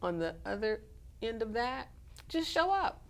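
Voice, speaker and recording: A woman with a cold and a scratchy voice, chatting as a guest on a live community TV show, halting now and then with fillers. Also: on the high side, big pitch swings. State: hoarse from a cold. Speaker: guest, community organization president. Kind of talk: casual live TV interview.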